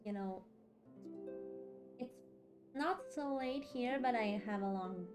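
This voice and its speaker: A woman's voice: fairly high pitch, takes it slowly. A woman chatting casually on a game livestream, a bit sleepy, repeating phrases for emphasis. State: a bit sleepy after a long day. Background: background music.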